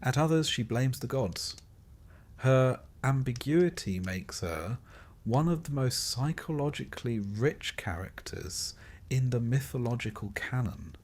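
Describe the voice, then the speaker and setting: A man reading a scripted sleep-story narration in measured, deliberate phrases, with dry, wry understatement. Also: medium pitch, expressive, a little mellow.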